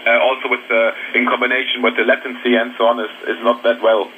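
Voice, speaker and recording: A man with a German accent talking haltingly in casual English as an amateur radio operator over a radio transmission. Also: mid-range voice, monotone. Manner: halting, searching for English words. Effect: shortwave radio transmission. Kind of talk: casual radio contact chat. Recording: narrow, noisy ham radio reception. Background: radio static.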